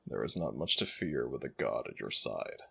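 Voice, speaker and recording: A man voicing an ancient jackal-headed god in an ASMR roleplay, gravelly and husky, speaking in stately, affectionate, gently teasing phrases to one listener. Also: very deep voice, animated, moderate pace, heavily acted. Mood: calm, instructing. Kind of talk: ASMR roleplay audio drama.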